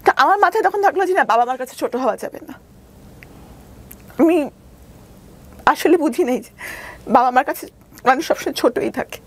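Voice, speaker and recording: A woman with a Bangladeshi accent giving a candid, personal account in a radio interview, halting at times and re-explaining herself as she goes. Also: high voice, animated. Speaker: interview guest telling her life story; doctor. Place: radio studio.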